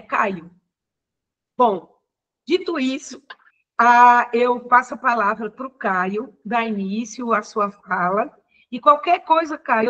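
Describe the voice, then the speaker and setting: An older woman professor introduces a guest speaker over a video call, speaking in a halting way with frequent fillers and self-corrections. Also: fairly high pitch, a bit bright, dull audio. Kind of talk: formal introduction.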